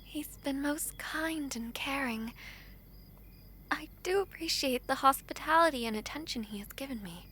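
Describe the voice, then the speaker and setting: A woman playing a gentle, earnest young princess in a romantic audio roleplay, speaking softly and formally with hesitant pauses. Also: sits high, animated, slight background noise. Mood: polite, gracious.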